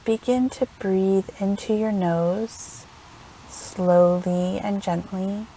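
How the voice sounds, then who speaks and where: A woman leading a guided meditation in a gentle, soothing manner, unhurried and leaving long pauses between phrases. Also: medium pitch, fairly even intonation, a little hiss or hum, poor audio. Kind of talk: guided meditation, slow instructional narration.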